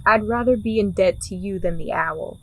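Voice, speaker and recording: A woman's voice: mid-range voice, moderate pace, lightly acted, low-quality recording. Woman acting a blunt, world-weary fantasy mercenary woman. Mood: wry, teasing. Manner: blunt, wry, teasing. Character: world-weary, teasing, pragmatic woman working for a crime boss. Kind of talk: fantasy audio drama acting.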